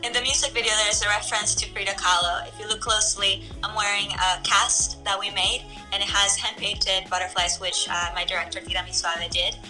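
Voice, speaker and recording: A young woman from Ecuador, a singer, chatting casually to camera in a relaxed way with lots of filler words. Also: medium pitch, brisk, few pauses, faint music behind, poor audio. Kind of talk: casual talk to camera about her song.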